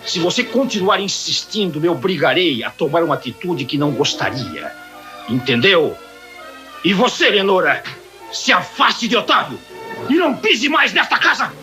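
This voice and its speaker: An angry bossy dad in a radio soap, high voice, loud music under him. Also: male voice, big pitch swings, moderate pace. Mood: angry, commanding. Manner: emphatic, commanding. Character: stern, threatening, domineering father. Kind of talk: old melodramatic radio soap acting.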